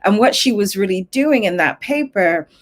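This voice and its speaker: A woman's voice: mid-range voice, lively intonation, normal speed. A Zambian-born woman, a tech founder, speaking on a panel discussion, thoughtful, with frequent fillers and restarts.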